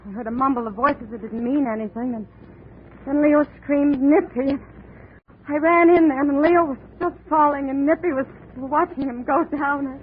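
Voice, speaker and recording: A woman's voice: high voice, steady tone, normal speed, lightly acted. An actress playing a frightened young woman caught up in a murder, who stammers and speaks frantically, in 1940s radio style. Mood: shaken. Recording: hissy phone-like 1940s radio broadcast.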